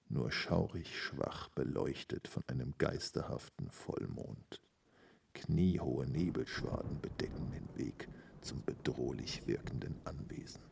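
An ominous narrator of a spooky radio play , acted by a man. The voice is extremely low and thick with gravel, unfolding slowly and trailing into breath. It sits back from the mic with faint noise. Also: a little mellow, distant mic, heavily acted. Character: ominous male narrator of a spooky haunted-house tale. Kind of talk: scripted audio drama narration.

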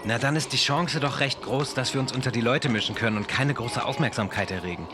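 A man's voice: medium pitch, fairly quick, over music, natural voice. A man playing a confident starship captain in a German sci-fi audio drama, commanding his crew in a casual way. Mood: reassuring.

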